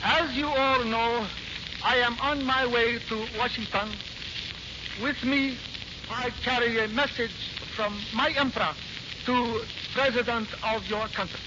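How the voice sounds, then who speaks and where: An actor playing a solemn, courtly envoy, speaking formal, measured oratory in a 1940s radio drama. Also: male voice, very high voice, steady tone. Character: smooth, solemn Japanese envoy proposing toasts of peace. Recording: noisy, muffled 1940s radio recording.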